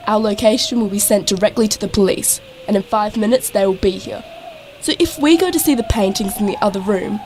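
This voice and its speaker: A woman's voice: medium pitch, a little mellow, lightly acted. Actress as brash young woman in echoey room. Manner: brash, sceptical, matter-of-fact. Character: blunt, suspicious, street-wise young woman. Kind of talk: audio drama acting. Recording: echoey room with faint noise.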